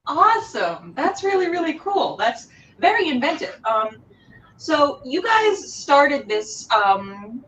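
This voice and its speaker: A woman's voice: medium pitch, fairly bright tone, a little hiss or hum. A woman hosting a casual, chatty interview, enthusiastic and approving in how she responds. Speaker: host interviewing a theater company. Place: remote video call. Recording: dull, distant-mic video call.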